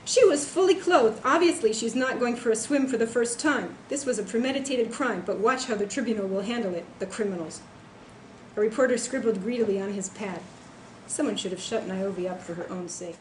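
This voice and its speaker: Woman, novelist reading prose aloud, mid-pitched voice, echoing venue, slight noise. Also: fairly quick. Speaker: author reading own novel. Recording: echoey room, faint background noise.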